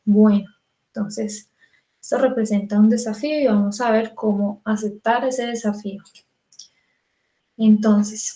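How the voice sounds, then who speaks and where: Bright-voiced woman teaching singing. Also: fairly high pitch, roomy sound, rough recording. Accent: Colombian Spanish. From Colombia. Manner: chatty, self-answering, many fillers. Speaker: vocal technique teacher. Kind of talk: online singing lesson.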